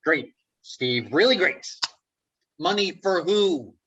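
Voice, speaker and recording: A man playing the cautious, exasperated friend in a comedy script. The voice is husky and unhurried, rising and falling a lot, with deadpan sarcasm. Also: on the high side, highs cut off, exaggerated voice. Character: exasperated, anxious male friend, the cautious sensible one. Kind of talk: comedy script table reading. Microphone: video call.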